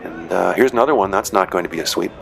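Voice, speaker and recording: A man's voice: deep voice, fast, vocal fry, a bit bright, slight background noise, rough recording. A man casually narrating footage of matches he refereed, chatty and rambling with dry joking asides. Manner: rambling, chatty, frequent "you know" and "uh". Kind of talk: casual commentary.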